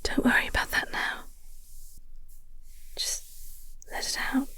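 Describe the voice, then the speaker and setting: A woman playing a flirty, tender English coworker in an intimate audio roleplay, speaking softly and breathily with a gentle, halting, genteel manner. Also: whispered. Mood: tender, soothing. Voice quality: soft, breathy. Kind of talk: intimate audio roleplay, soft comforting ASMR acting.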